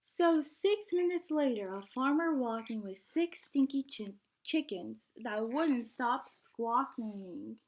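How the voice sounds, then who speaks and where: A woman with a high voice reads a picture book aloud for young children. Her pace is slow and her delivery expressive. She speaks like a teacher, stepping out of the text to question her listeners. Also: a little hiss or hum.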